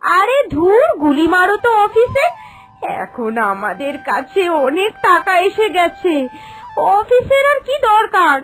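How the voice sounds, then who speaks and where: A melodramatic young cartoon wife, played by a woman, extremely high in pitch and swinging widely. She is emphatic, plaintive and bossy, repeating phrases for effect, over faint music. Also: sing-song, unhurried, a bit bright, heavily acted. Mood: excited, bossy. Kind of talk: dramatic cartoon voice acting.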